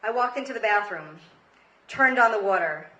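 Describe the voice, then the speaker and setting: A woman's voice: medium pitch, expressive, moderate pace, pushed and bright, dull audio. An American woman in her thirties telling a comedic story live on stage, with a dramatic, emphatic delivery. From Queens, New York, United States. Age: 30s. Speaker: comedy storyteller, show host. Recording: roomy live stage recording, faint noise.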